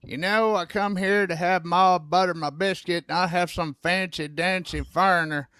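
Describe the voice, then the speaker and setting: A man playing a grumpy old country man with a gruff, folksy drawl. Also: high-pitched, fairly even intonation, normal speed, bright, forceful tone, no room sound, clean studio sound.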